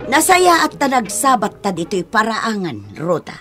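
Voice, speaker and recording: A woman playing a stern, protective grandmother in a radio drama, forceful and scolding. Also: mid-range voice, huge pitch swings, normal speed, faint music behind. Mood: confronting. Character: stern, protective grandmother confronting her daughter-in-law. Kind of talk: Ilocano radio drama acting.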